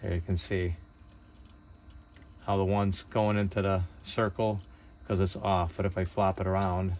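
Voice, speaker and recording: Male hobbyist demonstrator, lowish voice, hesitant and unscripted, talking through a hands-on task over noticeable background noise. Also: little pitch movement, measured pace, darkish tone. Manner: hesitant, unpolished, thinking aloud. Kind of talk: casual hands-on tutorial narration.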